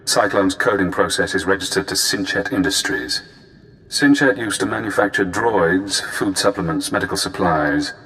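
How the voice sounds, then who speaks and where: Low-voiced, sinister spy handler. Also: male voice, fairly bright tone, a little hiss or hum, rough recording. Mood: fascinated, calm. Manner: measured, cerebral, clipped phrases. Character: cool, cunning male spy handler, quietly sinister. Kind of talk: video game voice acting.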